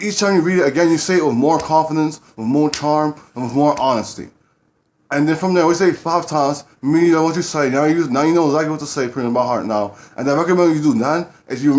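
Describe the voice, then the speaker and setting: A man giving dating advice to camera in a rambling casual way, often repeating himself and using blunt street slang. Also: fairly high pitch.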